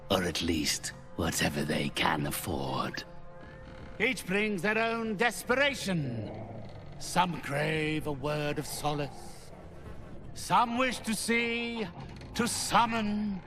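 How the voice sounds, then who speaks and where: An actor playing a haughty, cold, mercenary necromancer in a fantasy game, speaking slowly with theatrical condescension. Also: male voice, a little high, sing-song, sharp tone, soft background music, heavily acted. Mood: haughty, ominous. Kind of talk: cinematic fantasy game dialogue.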